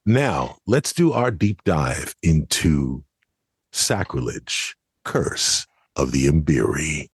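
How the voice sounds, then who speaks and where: Co-host, American man in middle age: low raspy voice, animated conversational commentary, dry studio-like sound. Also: slow pace, no room sound. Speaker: podcast co-host.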